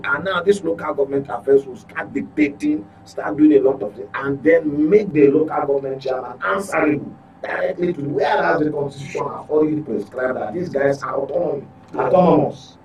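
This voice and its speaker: A man's voice: a little high, darkish tone, lo-fi sound, a little hiss or hum. A man with a Nigerian accent giving opinionated current affairs commentary as a journalist, speaking emphatically, repeating points and asking rhetorical questions.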